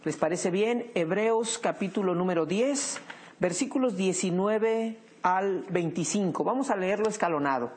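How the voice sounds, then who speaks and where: A man hosting a Bible study program, leading the discussion in a measured teaching tone. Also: high voice, darkish tone.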